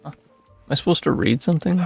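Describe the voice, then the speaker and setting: A man's voice: fairly high pitch, quick pace, vocal fry, husky, faint music behind. A man giving casual gameplay commentary, rambling and thinking aloud in a self-deprecating way. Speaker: streamer playing a video game.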